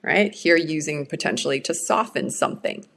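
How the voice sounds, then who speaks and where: A woman's voice: low-pitched, moderate pace, vocal fry, fairly bright tone. A middle-aged woman whose first language is Swedish, giving an earnest, reflective workshop talk on change management.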